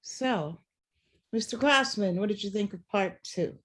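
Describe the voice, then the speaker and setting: Slow, reflective theater host. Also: mid-range voice, fairly bright tone, slightly rough. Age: middle-aged. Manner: reflective, halting with word repetitions. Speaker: theater director and discussion host. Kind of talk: hosting a post-show talk.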